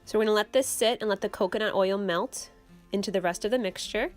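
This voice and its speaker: A young woman hosting her own channel, explaining a homemade recipe step by step in a relaxed, casual way from her kitchen. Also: medium pitch, fast talker, soft background music. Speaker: channel host. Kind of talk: casual how-to vlog. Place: home kitchen.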